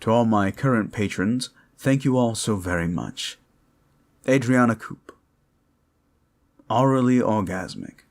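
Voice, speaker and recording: Slow-talking man in a casual outro. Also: fairly low pitch, vocal fry. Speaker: audio roleplay creator and performer. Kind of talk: casual outro addressed to listeners.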